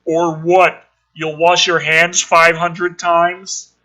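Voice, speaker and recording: A man voicing a taunting schoolboy bully from a film script in a loud, jeering manner. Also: fairly high pitch, level tone, slow, pitched above the performer's own voice.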